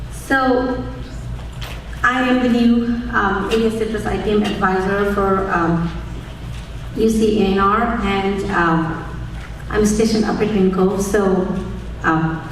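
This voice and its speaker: A woman, giving a measured conference talk as an entomologist, often pausing with "um". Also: medium pitch. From Nepal. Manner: measured, many "um" pauses, friendly and explanatory. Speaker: extension entomologist speaking at a conference. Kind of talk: conference presentation. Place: large conference hall. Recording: echoey hall, voice from far off mic, faint noise.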